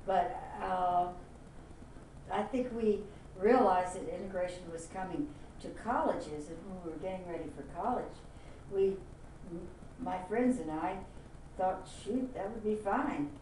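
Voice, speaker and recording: A woman's voice: on the low side, unhurried, dark tone. An older woman from Miami, Florida, plainly recalling her youth in an oral history interview. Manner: plain-spoken, reflective. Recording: echoey room, mic at a distance, faint hiss.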